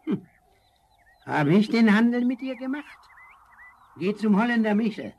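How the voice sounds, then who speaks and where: A man's voice: high-pitched, lively intonation, a little slow, a little mellow, highs cut off, exaggerated voice. A man playing a tiny, ancient forest spirit, stern and commanding, with solemn old-fashioned diction in a fairy-tale audio drama. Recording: vinyl rip with faint noise.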